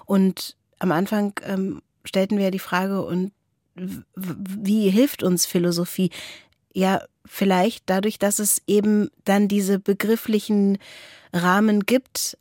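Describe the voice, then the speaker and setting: A woman's voice: mid-range voice, a little mellow, no echo, clean studio sound. A woman co-hosting a reflective podcast conversation with thoughtful, searching phrasing. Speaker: podcast co-host. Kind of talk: reflective conversation about philosophy.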